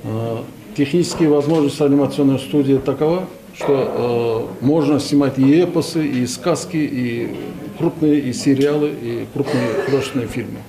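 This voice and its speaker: A man's voice: mid-range voice, a crowd in the background, rough recording. Older man addressing press in busy room. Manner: measured, hesitant pauses. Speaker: official speaking to press. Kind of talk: formal press remarks. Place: bright indoor room, press gathering. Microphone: cluster of press microphones. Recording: busy room with people behind.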